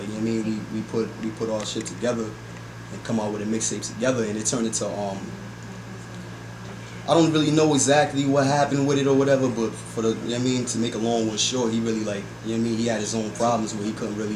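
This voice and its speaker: A young man, a rapper, chatting casually as an interview guest in a slangy, rambling way full of repeated filler phrases. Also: lowish voice. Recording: echoey room with background noise.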